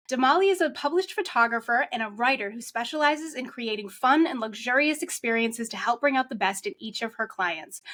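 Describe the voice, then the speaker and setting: High-voiced, effusive woman podcast host. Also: fairly bright tone. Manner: gushing, effusive. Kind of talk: enthusiastic interview chat.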